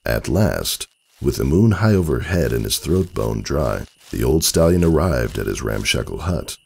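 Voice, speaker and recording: Very deep, gravelly male storyteller. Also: normal speed. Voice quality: gravelly, husky. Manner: expressive, literary storytelling. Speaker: narrator. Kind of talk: dramatic scripted story reading.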